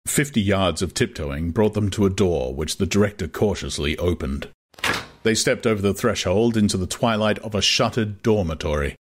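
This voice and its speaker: A man narrating a dramatized audiobook in a measured, literary manner. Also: low-pitched.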